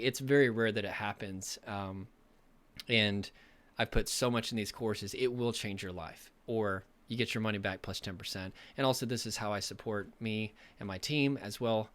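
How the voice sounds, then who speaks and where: A young man speaking as a recovery coach, hoarse and losing his voice from illness, talking in an earnest, rambling way with many fillers. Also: lowish voice, a little fast, fairly bright tone. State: losing his voice, a bit sick. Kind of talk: casual direct-to-camera talk.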